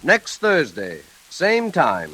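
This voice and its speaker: A man announcing a 1940s radio show from a script, with dramatic, deliberate pauses. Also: on the high side, wildly swooping pitch, sharp tone, slightly rough, poor audio. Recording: old radio recording.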